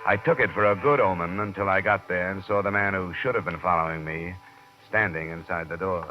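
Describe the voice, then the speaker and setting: An actor playing a blunt, driven American insurance investigator in an old radio detective drama, with a clipped, world-weary delivery. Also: male voice, low-pitched, a little fast, over loud music, close to an everyday voice. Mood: dry, matter-of-fact. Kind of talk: 1940s-50s radio detective acting. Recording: muffled old radio recording, faint hiss.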